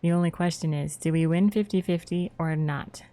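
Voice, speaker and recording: A woman's voice: fairly low pitch, normal speed, warm tone. A woman streamer giving casual, playful and rambling live commentary while playing a game. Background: faint game music.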